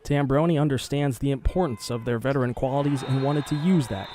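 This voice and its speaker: A man's voice: mid-range voice, brisk, dark tone, a little hiss or hum. A man narrating a scripted sports news package as a television reporter.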